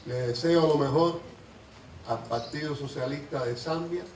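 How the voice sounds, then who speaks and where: A man's voice: on the high side, moderate pace. A man from Cuba with a Cuban accent giving a short formal greeting as an ambassador. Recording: echoey, distant mic, loud noise.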